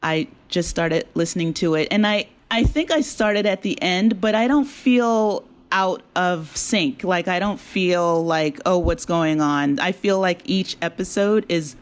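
A woman with a slightly low voice speaks as a guest in a casual interview. She is chatty and appreciative, with an easy laugh. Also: measured pace, few pauses. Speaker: interview guest, audio drama creator.

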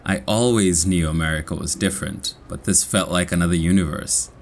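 Kenyan guy, really deep voice, reads his story slow and clear for learners. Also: a little hiss or hum. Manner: slow, clear, measured reading. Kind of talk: scripted personal story for learners.

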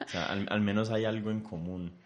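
Deep-voiced male interviewer. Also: fairly even intonation, fairly quick, highs cut off. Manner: probing, long winding questions. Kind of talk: conversational interview.

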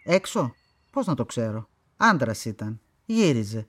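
A stern older woman who runs a farm, low-voiced for a woman. She answers in a curt, dismissive way, guarded and plain, in naturalistic radio-play style. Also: big pitch swings, heavily acted. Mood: curt, defensive. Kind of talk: naturalistic radio drama acting.

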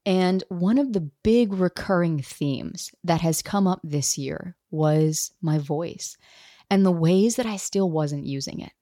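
A woman in her thirties hosts her own podcast, telling a personal story straight to the listener. Her voice sits a little low, and she slips into the voices of the people she quotes. The sound is dry and close, with no room around it. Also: takes it slowly, no room sound. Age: 30s. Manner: animated, acts out quoted dialogue. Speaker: podcast host.

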